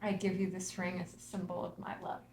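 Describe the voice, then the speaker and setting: An actress reading the part of Maggie, a witty, loving young wife, in a screenplay table read. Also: female voice, fairly low pitch, level tone, fairly quick, vocal fry, a little mellow, echoey room.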